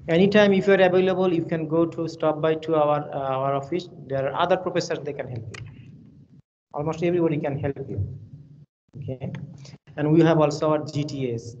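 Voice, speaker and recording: A man's voice: fairly high pitch, darkish tone, dull audio, slight background noise. A male university lecturer from Bangladesh, speaking English with a Bangladeshi accent as his second language. He gives an online class lecture and rambles and repeats himself in a friendly storytelling way. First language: Bengali. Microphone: computer mic on a video call.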